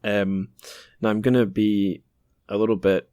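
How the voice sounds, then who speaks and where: A man's voice: fairly low pitch, steady tone, a little slow, dark tone, dry recording, clean studio sound. A man hosting a podcast in casual interview chat, informal and a little self-deprecating. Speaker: podcast host.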